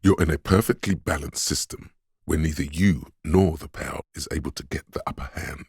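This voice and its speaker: An actor voicing a pompous, art-obsessed inner voice in a video game, narrating in a literary, deliberate and theatrical way with a husky, gravelly tone. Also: male voice, a bass voice, a little mellow, no echo, clean studio sound, full character voice. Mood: musing. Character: pretentious art-obsessed inner voice, male, musing and cutting. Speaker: narrator of a character's inner skill voice.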